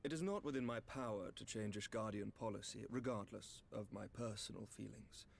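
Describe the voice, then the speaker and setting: An actor playing a courteous, grave knight commander in a fantasy game, speaking in formal, measured, archaic phrases with a slightly creaky voice. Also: male voice, lowish voice, slight background noise. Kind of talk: scripted fantasy game dialogue.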